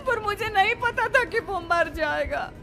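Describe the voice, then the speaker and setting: A woman's voice: very high-pitched, animated, measured pace, gravelly, bright, forceful tone, soft background music, full character voice. A woman voicing a remorseful, pleading middle-aged mother in a dramatized moral horror story. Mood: tearful remorse. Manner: tearful, pleading, self-justifying.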